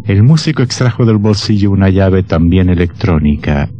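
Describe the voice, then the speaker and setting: Deep, dark sinister horror narrator. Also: male voice, animated. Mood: solemn, ominous. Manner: solemn, deliberate, ominous. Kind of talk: scripted radio drama narration. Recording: muffled old radio sound, music behind.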